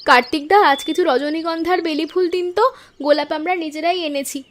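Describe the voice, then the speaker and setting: A woman's voice: sits high, steady tone, fast talker, natural voice. A woman voicing earnest, hopeful young flower-selling sisters in a moral-story cartoon, speaking politely.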